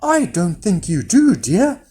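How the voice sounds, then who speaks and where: Storybook giantess, voiced by a narrator: high, placating, wary wife with expressive pitch. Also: slow, slightly rough, exaggerated voice. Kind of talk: children's fairy-tale storytelling.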